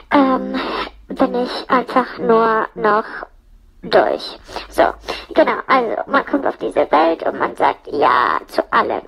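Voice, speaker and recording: High creaky-voiced woman, rambling comically. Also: fairly bright tone, poor audio. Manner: slangy, sweary, joking, mimics people in quotes. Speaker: audio-play storyteller in a puppet's persona. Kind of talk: casual rambling comic monologue.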